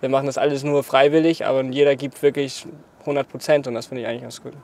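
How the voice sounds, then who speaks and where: A man's voice: medium pitch, level tone, fast, gravelly, darkish tone. A young man in his twenties from Portugal, interviewed outdoors, talking casually and laughing often between phrases. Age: 20s. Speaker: interviewee, choreographer. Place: outdoors in front of a graffiti wall.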